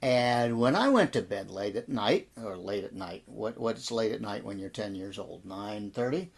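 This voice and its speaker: An American man in his sixties reminiscing in an interview as a guest, telling his story in a reflective, halting way. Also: fairly low pitch, expressive, gravelly, husky. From American Midwest and Texas. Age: 60s. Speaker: interview guest, audio drama producer and actor.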